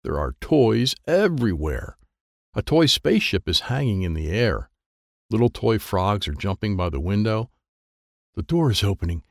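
A man's voice: fairly low pitch, big pitch swings, normal speed, vocal fry, no echo, clean studio sound. A man reading a children's audiobook in short, simple, childlike sentences. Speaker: audiobook narrator.

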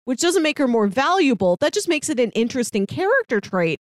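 A woman's voice: high voice, very animated, brisk, dry recording, clean studio sound. A woman co-hosting a podcast in casual chat, talking chattily and qualifying her opinions as she goes. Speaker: podcast co-host. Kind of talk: casual chat about a TV drama.

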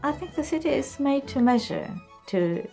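Austrian woman shoemaker, kinda halting, lots of uh and um, soft music under it. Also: high voice, expressive. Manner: halting, searching for words, many fillers. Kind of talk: interview answers.

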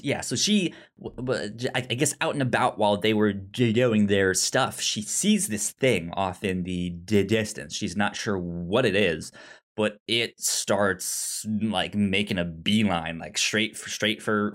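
A man talking casually about a film as a podcast co-host, halting and often stammering on repeated syllables. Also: fairly low pitch, a bit bright.

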